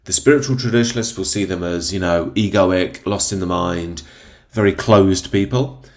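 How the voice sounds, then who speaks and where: A man's voice: sits low, normal speed. A man in his thirties with a cold-stuffed voice, hosting a thoughtful spiritual commentary in a rambling, reflective way. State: congested from a cold. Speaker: channel host.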